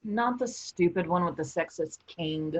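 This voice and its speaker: A woman playing a blunt, opinionated actress in a traveling troupe, husky-voiced, in a staged play reading. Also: lowish voice, measured pace, poor audio.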